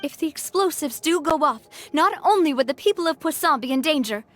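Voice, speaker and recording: A woman's voice: sits high, expressive, fairly quick, a bit bright, a little hiss or hum. An actress voicing a kind, responsible young woman who leads a close-knit band in a video game. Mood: worried, decisive. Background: faint music.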